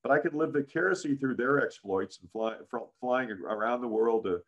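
A man in his sixties with a deep voice, a guest in a casual interview, telling career stories with many "frankly"s and "uh"s. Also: moderate pace, lo-fi sound. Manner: frequent "frankly" and "uh", animated anecdotes. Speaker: interview guest, business founder.

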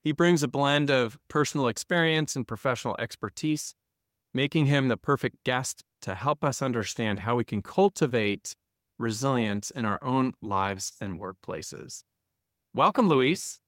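Smooth-voiced male podcast host. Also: mid-range voice, measured pace, dry recording, clean studio sound. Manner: warm, encouraging, reads intro smoothly. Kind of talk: interview hosting.

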